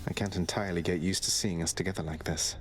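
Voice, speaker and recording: An actor playing a young prince of the underworld in a video game, wry, casual and courteous. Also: male voice, sits low, steady tone, fast, soft background music, lightly acted. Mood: thoughtful, easygoing.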